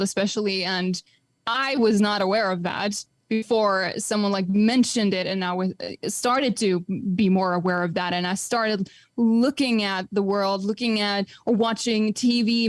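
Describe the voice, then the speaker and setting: A woman's voice: medium pitch, no echo. A woman in her twenties from Finland, a podcast guest talking in a reflective, casual way with frequent fillers and restarts. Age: 20s.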